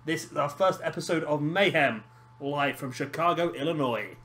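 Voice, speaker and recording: A hoarse man, hosting and casually commentating a wrestling video game. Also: on the high side, moderate pace, fairly bright tone. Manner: chatty, laughing, swears casually. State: hoarse, lost his voice. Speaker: host of a gaming channel.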